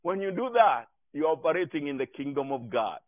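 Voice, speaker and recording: A man's voice: fairly high pitch, expressive, fairly quick. A man with a Kenyan accent preaching a sermon in an emphatic, exhorting way, repeating his key phrases. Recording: echoey room, thin phone-like sound.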